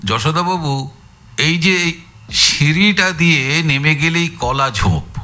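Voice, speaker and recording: An actor playing a shrewd, courteous male investigator in an audio story, questioning in a measured, probing way with thoughtful pauses. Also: a little high, animated, pushed and bright, exaggerated voice. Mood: inquisitive. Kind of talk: audio story dramatised dialogue.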